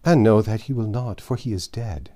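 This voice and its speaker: A man's voice: on the low side, moderate pace, mellow, natural voice. Man voicing a dying, impassioned peasant woman. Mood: grieving relief. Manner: impassioned archaic speech. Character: dying peasant woman, gaunt, grieving, bitter and grateful.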